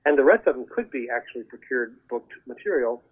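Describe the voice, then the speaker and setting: A man giving explanatory advice as an interview guest over the telephone, haltingly searching for his words. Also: medium pitch, animated, husky. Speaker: guest, voiceover agent. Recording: muffled narrowband phone line.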